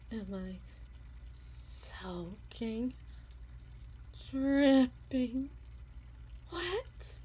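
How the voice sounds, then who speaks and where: A woman playing an obsessive, unhinged yandere wife in an ASMR roleplay monologue, theatrical and halting, with eerie, menacing tenderness and a breathy voice. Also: sits high, full character voice, rough recording. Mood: possessive, coy. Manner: theatrical, halting, drawn-out repetitions, swinging from hushed to intense.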